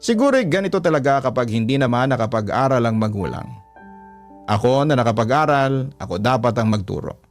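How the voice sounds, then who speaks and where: A man narrates a listener's letter in the first person, steady and earnest. His voice sits in an ordinary middle pitch. Soft music plays faintly behind him throughout. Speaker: radio drama narrator.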